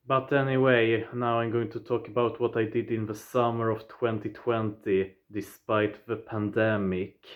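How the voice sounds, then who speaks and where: A man of twenty-five with a Swedish accent, talking straight to his viewers in a plain, deliberate way, often opening with "yeah.". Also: fairly low pitch, level tone, narrow, tinny audio. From southern Sweden. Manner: deliberate, plain phrasing, starts points with "yeah". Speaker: vlogger. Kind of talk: casual personal recap to viewers.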